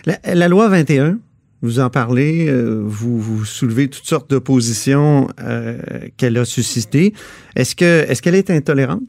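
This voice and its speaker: A man's voice: mid-range voice, expressive, normal speed, creaky, a little mellow, dry recording. A man with a Quebec French accent hosting a radio interview, probing with questions and cutting in. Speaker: radio host.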